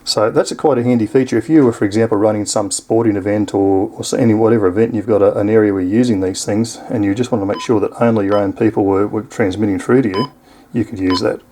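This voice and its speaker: A man's voice: on the low side, steady tone, fast talker, dark tone. A man with an Australian accent giving a casual, unscripted product demonstration in an easygoing, explanatory way. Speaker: product reviewer.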